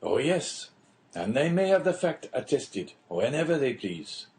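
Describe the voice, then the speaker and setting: An audiobook character, acted by a male reader: a courteous English gentleman who keeps himself in check. He asks in a measured, slightly lifted voice, politely inquisitive. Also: medium pitch, sing-song, voice pushed up. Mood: politely curious. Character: reserved, courteous Englishman, feigning slow comprehension, quietly probing.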